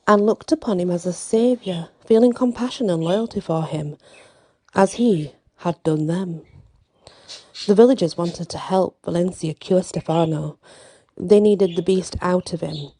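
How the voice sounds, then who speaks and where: Low-voiced woman storyteller, slightly gravelly, unhurried. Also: mellow. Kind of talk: ASMR bedtime story narration.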